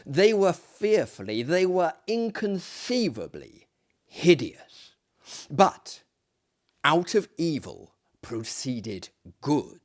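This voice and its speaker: A man performing as a reader of dramatic tales in a hammy, declamatory manner that swells and drops to hushes. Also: on the high side. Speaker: reader and host of dramatic readings. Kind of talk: theatrical dramatic reading of a gothic story.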